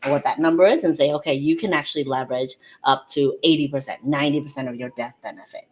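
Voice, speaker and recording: She is a woman with a notably low voice. She talks like a consultant explaining something conversationally, pausing to check in with little questions as she goes. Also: a loud crowd, rough recording. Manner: explanatory, often checks in with "right?". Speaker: insurance and financial consultant, guest.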